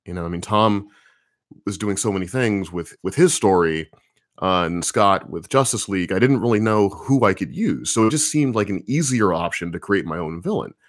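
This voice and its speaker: A man with a very deep voice, a comics writer casually answering viewer questions on a livestream, thinking aloud with lots of ums. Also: normal speed, no room sound, studio quality. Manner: relaxed, rambling, thinking aloud with many fillers.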